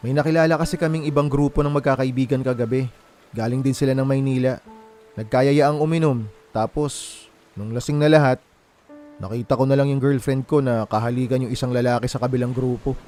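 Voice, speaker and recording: A heartbroken young man played by a male actor confides plaintively in a radio drama. His medium-pitched voice has a Filipino accent and carries the hurt of a betrayed boyfriend. Music plays faintly behind him. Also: fairly even intonation, brisk, dark tone, close to an everyday voice. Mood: downcast. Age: young adult.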